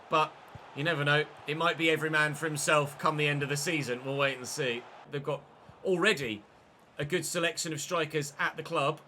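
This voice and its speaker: A young man commentating his football video game career, chatty and excitable, breaking into shouts when he scores. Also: on the high side, slight background noise. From England. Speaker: gaming YouTuber.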